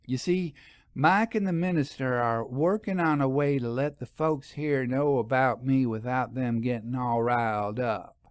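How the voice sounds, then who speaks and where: A man's voice: mid-range voice, clean tone, dry recording, close to an everyday voice. Man acting young country wife, cheerful, folksy, teasing, storybook character, dry sound. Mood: sheepish. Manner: folksy, teasing, quick to laugh.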